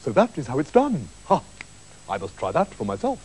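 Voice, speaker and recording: A man's voice: medium pitch, wildly swooping pitch, fairly quick, a little mellow, a little hiss or hum, pitched below the performer's own voice, rough recording. A man voicing a young fairy-tale prince, cheeky and eager, with a jaunty way of talking. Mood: sly.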